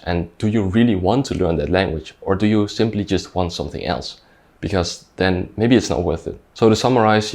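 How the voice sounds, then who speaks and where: A man's voice: low voice, a little mellow. A man whose first language is Dutch, talking through ideas on language learning in a reflective, conversational way with frequent restarts. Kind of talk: reflective talking-head explainer.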